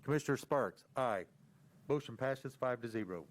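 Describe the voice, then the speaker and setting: An American Midwestern man chairing a county commission meeting, brisk and procedural. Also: mid-range voice, animated.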